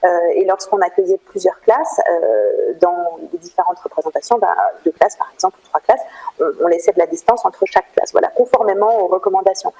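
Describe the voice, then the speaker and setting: Mellow-voiced Frenchwoman explaining in an interview. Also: on the low side, expressive, fairly quick, poor audio. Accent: French from France. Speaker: interview guest, cultural programme manager at a theatre. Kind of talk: radio interview, explanatory answers.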